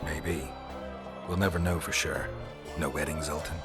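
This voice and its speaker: An actor playing a stoic fantasy monster hunter in a video game, terse, dry and sardonic. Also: male voice, very deep voice, fairly even intonation, moderate pace. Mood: calm, matter-of-fact. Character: stoic, gravelly-toned male monster hunter, dryly sardonic. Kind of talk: cinematic fantasy game acting. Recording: music behind the voice.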